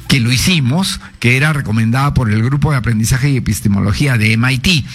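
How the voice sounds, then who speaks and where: An older man, a former education minister speaking as a radio guest, explaining in a measured, professorial way and citing sources. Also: mid-range voice, a bit bright.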